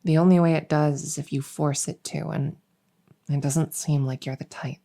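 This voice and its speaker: A woman playing a weary, dutiful young princess in an intimate audio roleplay, speaking in a halting, conversational way with a soft, husky voice. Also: deep voice, fairly even intonation. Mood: frustrated. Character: young human princess, weary, dutiful, wistfully longing for freedom.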